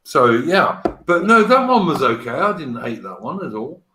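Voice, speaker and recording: A man's voice: on the high side, very animated, moderate pace, pushed and bright, reverberant, a little hiss or hum. A middle-aged man with a British accent carrying a South African and Australian twang, hosting a casual livestream chat, blunt and bantering, with the odd swear word. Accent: mixed British with South African and Australian twang. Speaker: stream host.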